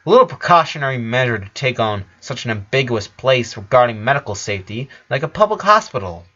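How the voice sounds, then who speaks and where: A man voicing a precocious little girl scientist with a calm, wordy, clinical delivery, on a dull recording. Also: medium pitch, fast.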